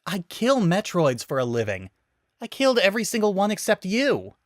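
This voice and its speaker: Guy doing a tough bitter lady bounty hunter, raised pitch, spits her words out, sci-fi story. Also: huge pitch swings, moderate pace, no room sound, clean studio sound. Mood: angry, anguished. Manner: terse, forceful, spitting out words. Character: hardened female bounty hunter, bitter, conflicted, fierce.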